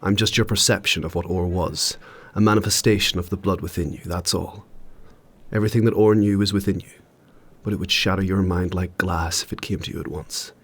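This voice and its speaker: An age-old warrior spirit in a fantasy audio drama. The voice is low and rough-edged, with a husky grain. Every phrase is grave, formal and measured. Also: male voice, steady tone. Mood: grave, instructive. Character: ancient, solemn warrior spirit living in another's mind.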